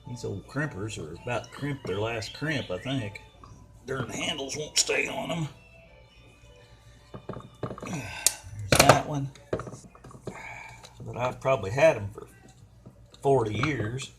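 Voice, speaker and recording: A man's voice: medium pitch, slow pace, pauses often. Folksy older man, music behind. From United States. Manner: folksy, colloquial, muttering to himself while working. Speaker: DIY mechanic, video host. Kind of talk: casual hands-on repair narration.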